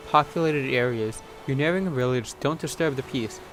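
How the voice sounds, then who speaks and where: Male gaming host: mid-range voice, casual let's play commentary, game music clearly behind. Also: mid-range voice, a little fast. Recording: voice over loud game music. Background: game music and horse sounds.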